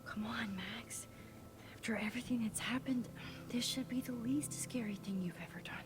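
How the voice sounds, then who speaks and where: An actress playing a shy, earnest teenage student in a video game, speaking softly and introspectively, often close to a whisper. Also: female voice, fairly high pitch, normal speed, background noise, lightly acted. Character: shy, earnest teenage girl photography student, self-doubting.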